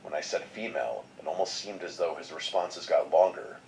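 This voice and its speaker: Deep-voiced man reading a story. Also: lively intonation, a little fast, gravelly, a little mellow, a little hiss or hum, rough recording. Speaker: horror story narrator. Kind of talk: scripted first-person narration.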